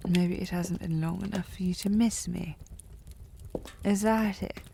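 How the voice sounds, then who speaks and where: A woman's voice: on the low side, steady tone, measured pace, gravelly, mellow, slight background noise. Woman playing a haughty, tipsy young noble lady. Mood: hurt, coy. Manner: haughty, prim formal diction, slightly tipsy. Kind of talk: historical romance audio roleplay, intimate one-sided dialogue.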